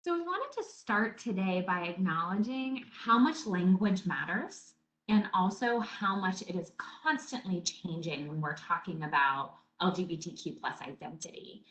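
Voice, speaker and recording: Bright-voiced woman in an echoey room. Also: fairly low pitch, expressive, moderate pace. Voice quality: slight stumbles and self-corrections. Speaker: webinar presenter. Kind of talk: webinar presentation.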